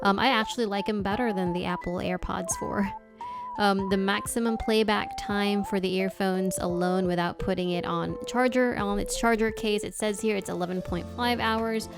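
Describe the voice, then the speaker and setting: A woman's voice: mid-range voice, steady tone, moderate pace. A woman giving a casual product review as the host of an unboxing video. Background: background music.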